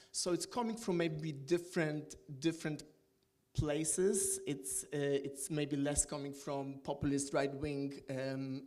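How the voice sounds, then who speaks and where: A man's voice: a little high, darkish tone. A middle-aged man speaking English on an academic panel, hesitant, with many fillers and restarts. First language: Polish.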